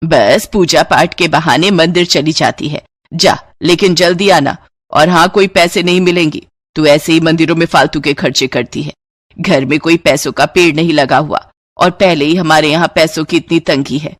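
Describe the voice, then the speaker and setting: Woman playing a husky, carping mother-in-law. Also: deep voice, moderate pace, dry recording. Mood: scolding. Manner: carping, sarcastic, dismissive. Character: stingy, bitter, scolding middle-aged mother-in-law. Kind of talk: moral story dialogue.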